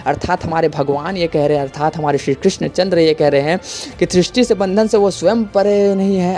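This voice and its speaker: A man's voice: on the high side, dark tone. An Indian man hosting a religious explainer voice-over in an earnest, didactic, repetitive manner. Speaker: YouTube explainer host. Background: music.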